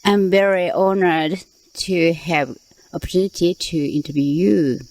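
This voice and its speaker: A woman's voice: fairly low pitch, steady tone, a little slow, a little mellow, soft background music. A woman interviewer putting formal, prepared questions in a careful manner.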